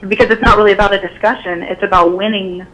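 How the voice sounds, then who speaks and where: A woman calling into a talk show, hoarse from a cold, asking her question hesitantly with many pauses. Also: medium pitch, steady tone, a bit bright, low-quality recording. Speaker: caller. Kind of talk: phone-in conversation.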